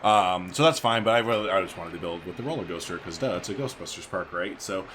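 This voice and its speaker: A man's voice: low-pitched, fast talker, a bit bright, a little hiss or hum. A man hosting a gaming video, giving casual, slangy let's play commentary and thinking aloud.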